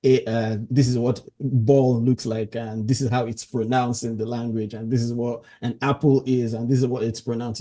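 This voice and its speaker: A man's voice: mid-range voice, warm tone. A man from Nigeria with a Nigerian accent, chatting casually as a podcast guest and often chuckling as he talks. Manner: chuckles often while talking. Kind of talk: casual conversational interview.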